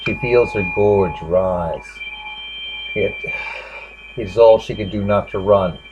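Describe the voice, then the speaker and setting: A man giving a grave, halting dramatic reading of literary fiction as its narrator. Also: fairly low pitch. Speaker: narrator reading a short story. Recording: dull lo-fi recording with clear background noise.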